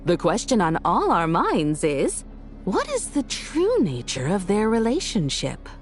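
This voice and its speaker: An actress playing a theatrical, scheming tabloid reporter in a video game, dramatic and insinuating. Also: female voice, mid-range voice, wildly swooping pitch, unhurried, faint music behind. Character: theatrical, gossip-hungry, gleefully scheming female tabloid reporter.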